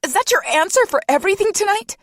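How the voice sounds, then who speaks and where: A woman voicing a distraught young mother, loud and shrill in her panic. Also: unhurried, slightly rough, dry recording, clean studio sound. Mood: furious.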